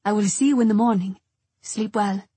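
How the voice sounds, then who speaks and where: A woman voicing a gentle, reassuring male suitor in a romance audiobook. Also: medium pitch, a little fast, slightly rough, no room sound, close to an everyday voice. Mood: tender, reassuring.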